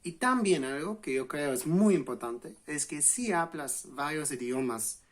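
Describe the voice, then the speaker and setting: Slow-paced English man teaching in Spanish. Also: on the high side, animated. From England. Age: late 20s. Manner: unhurried, pausing and restarting with fillers. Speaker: language channel host. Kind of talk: relaxed spoken lesson to camera.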